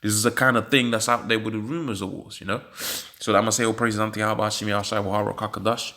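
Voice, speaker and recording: British guy reading news out loud, bit sniffly, lots of uh and um, lowish voice. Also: fairly even intonation. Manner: halting, many fillers and restarts, emphatic rhetorical questions. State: sniffly with hay fever. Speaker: religious commentator reading news. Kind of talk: casual commentary on a news article.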